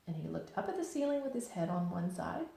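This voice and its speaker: A woman's voice: on the low side, big pitch swings, fast, gravelly, warm tone. A woman teacher reading a children's storybook aloud expressively, with simple, explanatory phrasing. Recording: very echoey room.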